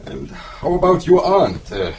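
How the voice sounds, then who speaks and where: Radio drama: wily police captain, genial yet probing, lively delivery, slight background noise. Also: male voice, high voice, measured pace. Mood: slyly probing. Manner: chuckling, mock-polite, probing. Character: jovial, sly Austrian police captain, male.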